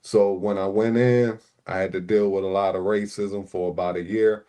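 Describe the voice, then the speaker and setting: Man, very low voice, from Puerto Rico, raised in Newark, livestream panel regular, laid-back, lots of "you know". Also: steady tone, moderate pace, dark tone. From Puerto Rico, raised in Newark, New Jersey. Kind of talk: casual livestream chat.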